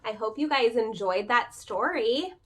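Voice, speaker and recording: A woman with a slightly high voice reads a picture book to young children in the manner of a preschool teacher. Her delivery is expressive and informal, moving between the story text and chatty asides that invite the listeners to answer. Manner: expressive, pauses to ask listeners questions. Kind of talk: children's storybook read-aloud with casual asides.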